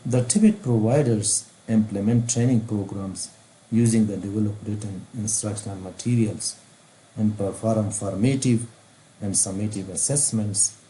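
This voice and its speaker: A middle-aged man with an Afghan accent reads out a formal training lecture in a deliberate, phrase-by-phrase way. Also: on the low side, dark tone, poor audio. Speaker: vocational training specialist. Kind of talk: scripted lecture narration over slides. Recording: faint background noise.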